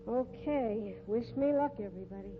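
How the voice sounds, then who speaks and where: An actress playing a brassy, suspicious, sharp-tongued carnival woman with an American accent and slangy, needling talk in a 1950s radio drama. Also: on the high side, moderate pace, faint music behind, close to an everyday voice. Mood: nervous. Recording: dull, humming 1950s radio recording.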